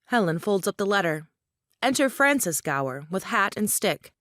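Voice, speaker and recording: A woman's voice: mid-range voice, big pitch swings, normal speed, a bit bright, no echo, studio quality. A woman reading brief stage directions as the narrator of an audio drama, in scripted narration.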